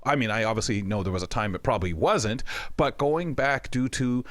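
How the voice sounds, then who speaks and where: A man's voice: medium pitch, fairly quick, a bit bright, no room sound. A man with a Canadian accent hosting a conversational talk show, speaking in a wordy, meandering way.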